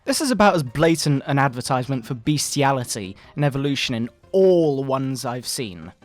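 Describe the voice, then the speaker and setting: A man voicing a pompous, sanctimonious fundamentalist preacher parent in a mock-dramatic sermon, with theatrical, drawn-out emphasis. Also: mid-range voice, expressive, close to an everyday voice. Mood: indignant. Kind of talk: mock-dramatic reading of a moral-panic sermon. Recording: background video game music.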